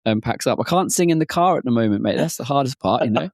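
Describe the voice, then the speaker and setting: A man co-hosting a podcast in casual chat, his voice hoarse and croaky, rambling with many fillers. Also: medium pitch, animated, fast, no room sound, studio quality. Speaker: podcast co-host.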